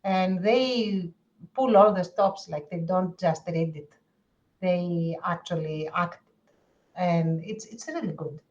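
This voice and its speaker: A woman's voice: on the low side, takes it slowly, darkish tone. A woman chatting casually as a podcast co-host, recorded over a lo-fi connection.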